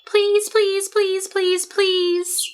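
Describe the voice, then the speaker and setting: A woman voicing an excitable young gosling in a storybook, begging in a whiny way. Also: very high voice, drawn-out words, voice pushed up, heavily acted. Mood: pleading.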